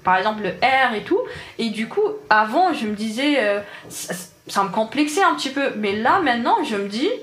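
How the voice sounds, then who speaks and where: A woman's voice: on the high side, animated, moderate pace, a bit bright, reverberant. A woman in her twenties from Algeria, Arabic her first language, speaking French with an Algerian accent, earnestly reflecting on her experience in an interview. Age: 20s. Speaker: interviewee, engineer. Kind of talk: personal testimony in an interview.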